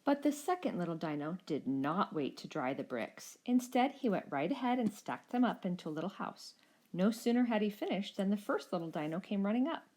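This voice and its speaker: A woman storyteller narrating a children's story in an expressive, scripted style. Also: medium pitch, brisk.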